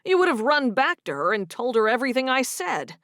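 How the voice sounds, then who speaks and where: A woman's voice: high-pitched, very animated, brisk, fairly bright tone, dry recording, studio quality, pitched above the performer's own voice. A woman reading a romance audiobook, voicing a young man who is wounded and earnest as he confronts his girlfriend. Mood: hurt.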